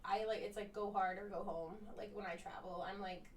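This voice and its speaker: A woman's voice: medium pitch, fairly even intonation, fairly quick. A woman who grew up speaking Croatian at home, chatting casually as a guest in an upbeat way with lots of fillers. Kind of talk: casual chat. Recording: roomy, distant mic with faint noise.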